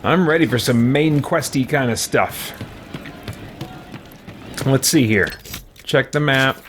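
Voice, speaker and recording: A man's voice: mid-range voice, slow pace, fairly bright tone. A man in his thirties giving casual commentary as he plays a video game, recorded close up indoors. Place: indoor room with shelves at home. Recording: faint game noise and clicking behind.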